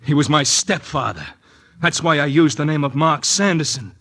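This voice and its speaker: A man's voice: a little high, expressive, moderate pace, pushed and bright, exaggerated voice. An American actor playing a nervous, dissipated rich young man in fear for his life, stammering and pleading in 1940s radio melodrama. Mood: bitter, overwrought. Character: jittery, desperate, dissipated rich young man, fearing death. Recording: vintage 1940s radio recording.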